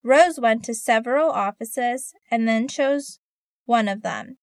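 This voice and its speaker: A woman narrating a short story for a listening test, with clear, even textbook reading. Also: a little high, takes it slowly, no echo, clean studio sound. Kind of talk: scripted narration.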